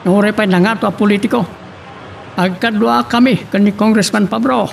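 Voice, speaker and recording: An actor playing a scheming, self-assured father in a radio drama, with a gravelly voice. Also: high voice, fairly even intonation, fairly quick. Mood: firm, boastful. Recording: distant mic, faint background noise.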